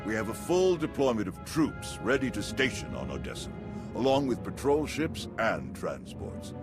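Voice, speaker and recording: An actor playing a businesslike military officer in a video game, giving a formal briefing. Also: male voice, fairly low pitch, big pitch swings, normal speed. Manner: crisp, formal briefing delivery. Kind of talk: video game dramatic acting. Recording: music under the voice.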